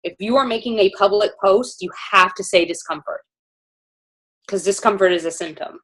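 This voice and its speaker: A woman's voice: mid-range voice, moderate pace, poor audio. A woman casually presenting products to a panel, informal and chatty with many fillers.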